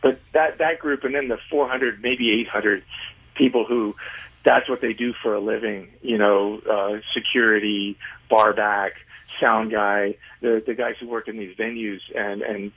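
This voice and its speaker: A man being interviewed as a guest on a radio show, explaining things earnestly in halting phrases with repeated words. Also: fairly low pitch, fairly even intonation, breathy edge. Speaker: interview guest, independent venue organizer. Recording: tinny phone-like line.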